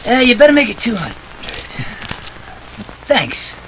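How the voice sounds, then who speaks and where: A man's voice: extremely high pitch, sing-song, slow, pushed and bright, a little hiss or hum, heavily acted, rough recording. A man acting a wisecracking, cool private detective in a 1940s radio play, clipped and wry. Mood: wry, unruffled.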